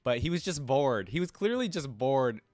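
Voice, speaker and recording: A man's voice: mid-range voice, sing-song, normal speed, a little mellow, no echo. A man giving informal, casual fan commentary as a reaction video host. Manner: informal, peppered with "like" and "you know".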